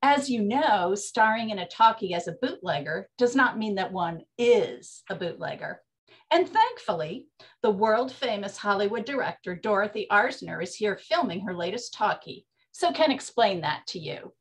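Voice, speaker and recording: A refined, unflappable hostess of a ladies' tea room in a 1920s stage play, acted by a woman. Her voice sits at a medium pitch and she speaks formally and politely, keeping her composure. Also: sing-song, normal speed. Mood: polite, composed. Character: genteel, unflappable female tea shop proprietress. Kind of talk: amateur 1920s period stage acting.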